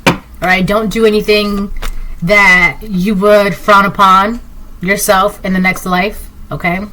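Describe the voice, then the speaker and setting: Woman, Spanish native speaker from Puerto Rico, casual rambling tarot reader; bright tone, light hiss on the track. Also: medium pitch, little pitch movement, slow pace. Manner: rambling, many fillers and restarts. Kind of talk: casual intuitive reading to camera.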